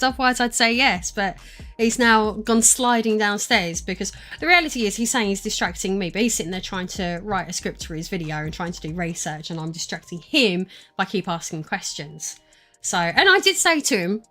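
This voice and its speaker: A woman in her thirties with an Estuary English accent chats loosely to her stream viewers, full of ums and restarts. Her voice sits in an ordinary mid range. Soft music plays behind her at home. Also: medium pitch. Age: 30s. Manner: chatty, rambling, many fillers and restarts. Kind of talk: casual live-stream chat. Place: room at home.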